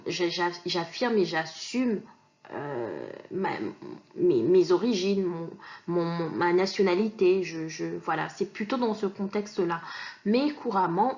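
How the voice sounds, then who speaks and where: A woman's voice: on the low side, a little mellow, lo-fi sound. A woman from Kinshasa teaching an online language lesson, explaining patiently with many fillers and restarts. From Kinshasa, DR Congo.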